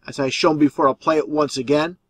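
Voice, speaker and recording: A man's voice: a little high, fairly even intonation, brisk, a bit bright. A man giving conspiracy commentary over video clips as the host, explaining in an insistent, repetitive way.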